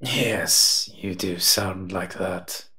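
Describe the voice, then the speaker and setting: A man's voice: low-pitched, very slow, pushed and bright, heavily acted. A man voicing a sardonic, protective and menacing monster who teases and mutters asides in a roleplay audio drama. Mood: teasing, amused. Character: eight-foot male smoke monster, sardonic, menacing, protective.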